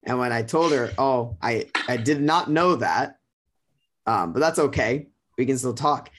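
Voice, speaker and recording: A man's voice: mid-range voice, expressive, unhurried, noisy background. A man sharing a personal experience as a guest, casual but earnest and emphatic. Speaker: guest sharing his relationship story.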